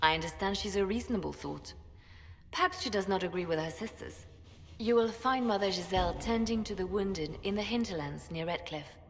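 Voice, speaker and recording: An actress playing a measured, formal woman advisor in a fantasy game, speaking articulately. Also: medium pitch, expressive, natural voice. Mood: measured, grave. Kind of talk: dramatic fantasy game dialogue. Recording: long hall reverb.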